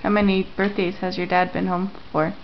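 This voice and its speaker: A woman interviewing family members at home, repeating their answers back and asking gentle questions. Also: on the low side, level tone, moderate pace, a little hiss or hum. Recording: lo-fi home camera audio.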